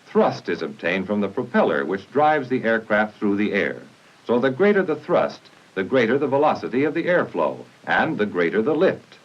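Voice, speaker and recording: Deep voiced american guy narrating an old 50s army training film, lively intonation, formal and measured, muffled noisy audio. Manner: formal, instructional, measured delivery. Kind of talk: 1950s military training film scripted narration.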